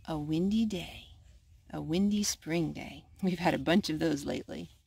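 A woman's voice: low-pitched, normal speed, vocal fry, darkish tone, husky. A woman reading a children's picture book aloud at storytime. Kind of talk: read-aloud storytime narration.

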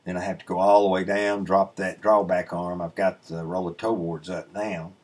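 A middle-aged man with a low, husky voice talks through his sawmill footage in a relaxed, rambling way. He explains each step plainly, filling pauses with ums and uhs. He sounds like he is seated at his desk, speaking into a webcam mic. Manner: laid-back, explanatory, rambling with many "um" and "uh". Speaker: sawmill channel host. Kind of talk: casual voice-over commentary on footage. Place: indoor room, voice-over recorded away from the sawmill. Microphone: webcam inset, seated at a desk.

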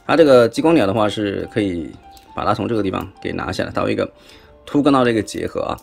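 A man's voice: lowish voice, animated, fast, creaky, soft background music. A man with a Taiwanese accent giving a chatty, casual hands-on toy review, often tossing in rhetorical questions. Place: indoors at a tabletop.

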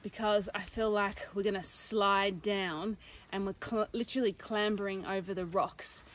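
A young woman chatting expressively to a handheld phone camera on an outdoor forest trail, sounding exasperated and quick to laugh. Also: mid-range voice, steady tone, slight background noise. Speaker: hiking companion. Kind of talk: casual on-camera chat. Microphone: phone camera held by companion.

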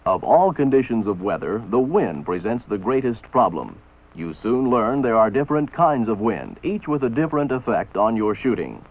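Male American instructional narrator, measured and commanding, animated intonation, phone-like vintage film sound. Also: mid-range voice, slight background noise. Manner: authoritative, measured, didactic. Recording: tinny band-limited old film soundtrack. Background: voice-over for an old military training film.

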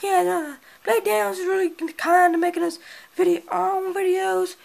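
A small, friendly hedgehog voiced by a performer with an extremely high, squeaky voice that has a gravelly edge. He rambles and stumbles over his words and keeps restarting his sentences. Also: little pitch movement, moderate pace, exaggerated voice. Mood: cheerful. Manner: squeaky, rambling, fumbling speech.

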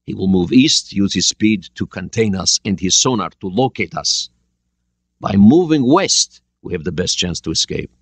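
A man voicing a stern older male Soviet submarine captain who reasons out his plan calmly and deliberately. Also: lowish voice, slightly rough, dry recording, full character voice. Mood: calm, calculating. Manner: calm, deliberate, reasoning aloud.

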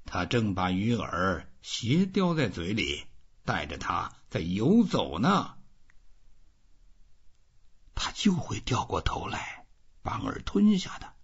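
A man voicing a stubborn old fisherman who talks to himself and coaxes a fish in an audiobook, with a husky voice. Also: fairly low pitch, huge pitch swings, slow pace, long pauses, muffled recording, full character voice. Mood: tense, eager. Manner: emphatic, coaxing, talking to himself.

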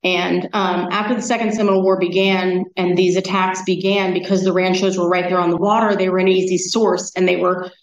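A woman's voice: fairly low pitch, little pitch movement, brisk, hardly any pauses, a little hiss or hum. A woman giving an informal history lecture in a conversational, colloquial storytelling way. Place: meeting room at a society talk. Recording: dull, echoey room, distant microphone.

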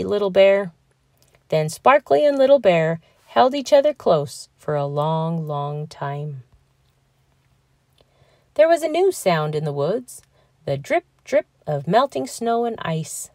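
A woman reading a children's picture book aloud with slow, expressive storytelling. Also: fairly low pitch, no room sound, clean studio sound. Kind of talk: read-aloud storybook narration.

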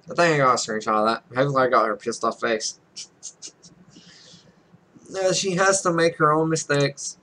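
A man's voice: a little high, moderate pace, long pauses, sharp tone, a little hiss or hum. A man in his twenties reading game text aloud with casual, joking, self-mocking commentary. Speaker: Let's Play streamer. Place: small room at home.

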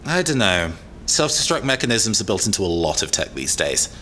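An actor playing a thoughtful, slightly uneasy young tech expert in an audio drama, explaining things in a hesitant, natural way. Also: male voice, lowish voice, sing-song, normal speed, bright, forceful tone, a little hiss or hum. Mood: explanatory.

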